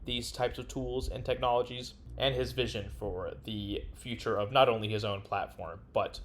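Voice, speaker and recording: A man's voice: mid-range voice, steady tone, normal speed, slight background noise. A man co-hosting a podcast, reading a short upbeat sign-off full of thanks. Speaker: podcast co-host. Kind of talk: scripted podcast outro.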